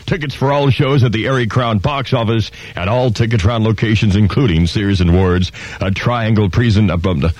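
A man's voice: on the low side, normal speed, no room sound. An American man working as a radio DJ, delivering hammy, exuberant, punchy top-40 patter in 1970s style. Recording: 1970s radio aircheck with loud music behind. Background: loud rock music.

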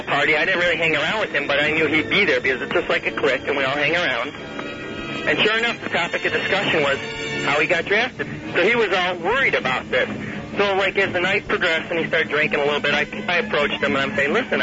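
A young man telling a casual story as a caller on a radio phone-in. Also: fairly high pitch. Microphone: telephone. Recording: dull phone call on radio, loud music. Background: loud music.

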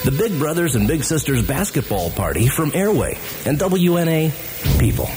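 A man's voice: on the high side, big pitch swings, moderate pace, darkish tone. A man reading a scripted public service announcement as an earnest radio announcer. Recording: 1970s radio spot over music.

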